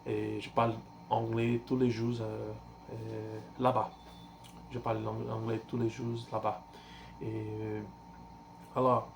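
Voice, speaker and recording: A man in his twenties from Brazil, speaking French with a Brazilian Portuguese accent and a Portuguese first language. He talks in a halting, casual way, pausing often to search for words, with frequent fillers and restarts. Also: medium pitch, gravelly, a little mellow, a little hiss or hum. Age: 20s. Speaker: language learner vlogger. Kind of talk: casual self-introduction.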